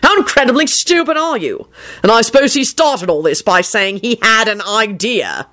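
A woman's voice: mid-range voice, wildly swooping pitch, normal speed, fairly bright tone, voice pushed up. A woman voicing an exasperated, furious male boss in a story, ranting with sarcasm and profanity. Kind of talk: dramatic storytelling of fan fiction.

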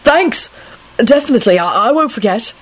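Woman putting on an excitable young man, swooping pitch. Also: normal speed. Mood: dreamy, smitten. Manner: gushing, impulsive, blurting.

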